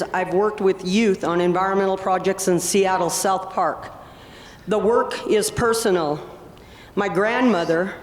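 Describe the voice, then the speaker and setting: Woman speaking sincerely in a reverberant hall. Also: mid-range voice. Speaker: youth environmental educator. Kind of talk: prepared speech.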